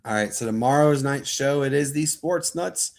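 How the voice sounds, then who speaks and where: A man's voice: mid-range voice, normal speed. Enthusiastic male sports show host. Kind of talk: casual livestream sign-off.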